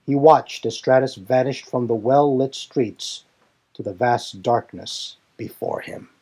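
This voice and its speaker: A man's voice: mid-range voice, measured pace, warm tone. A man giving scripted story narration for a fan audio drama.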